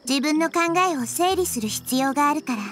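A woman playing a gentle, wise little girl deity in an anime game, composed and kindly. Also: high voice, animated, fairly quick. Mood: gentle, thoughtful. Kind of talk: scripted anime game dialogue. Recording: music under the voice.

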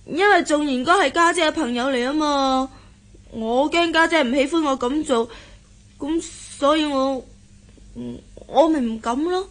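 A woman's voice: high-pitched, measured pace. An actress playing a girlish young woman in a radio drama, coy, eager and boldly lovestruck. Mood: anxious, coy. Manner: coy, giggly, emotive. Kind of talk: Cantonese radio drama acting.

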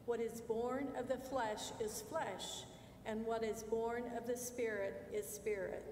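An older woman gives a formal scripture reading in a fairly high voice, very slowly and deliberately. She sounds set back in an echoey hall. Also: steady tone, a little mellow. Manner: measured, deliberate phrasing. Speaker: scripture reader. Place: large church sanctuary, at a lectern. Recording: distant, echoey church hall, faint noise.

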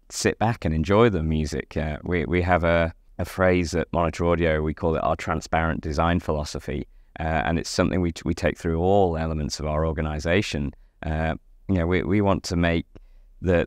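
Low-voiced middle-aged man, dry close sound. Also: no echo. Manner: hesitant, repeating words as he explains. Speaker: loudspeaker company spokesman. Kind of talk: unscripted interview explanation. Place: dim indoor demo room, seated.

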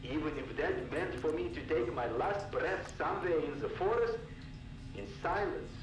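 A man's voice: a little high, normal speed, close to an everyday voice. Man acting weary scientist on a noisy tape. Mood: wistful. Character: weary, disillusioned male scientist, distrustful and longing for peace. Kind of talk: video game audio-log acting. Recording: roomy, distant, noisy cassette tape recording.

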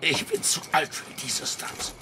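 An actor playing a sarcastic, grumbling older horror author in a radio play. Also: male voice, measured pace, background noise, natural voice. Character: older male horror author, dry and sardonic.

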